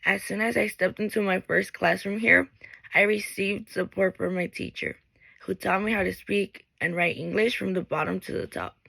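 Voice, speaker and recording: Creaky bright young woman. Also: medium pitch, fairly even intonation, normal speed, no echo, poor audio. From Mexico. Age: late teens. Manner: earnest, reads from a script, with small restarts. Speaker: graduating high school student. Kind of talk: reading a prepared graduation speech.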